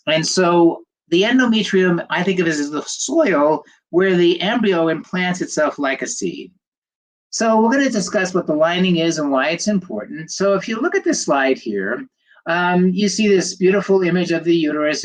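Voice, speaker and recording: A man's voice: on the high side, lively intonation, moderate pace, low-quality recording. An older man, a doctor, slightly nasal from a cold, giving a chatty livestream lecture, rambling with fillers and greeting viewers by name.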